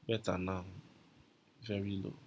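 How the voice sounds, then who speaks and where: A man's voice: sits low, flat delivery, measured pace, a little mellow. A man teaching a live online coding class, repeating his instructions and calling out viewers' names from the chat. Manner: repetitive, coaxing, calls out viewer names.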